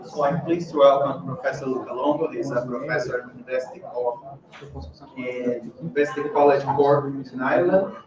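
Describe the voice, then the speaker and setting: A man's voice: mid-range voice, steady tone, normal speed, crowd noise. A man hosting a seminar, giving a formal introduction of the guest speaker and correcting small slips as he reads. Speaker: seminar host. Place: seminar room. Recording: distant, roomy, dull lo-fi hall recording.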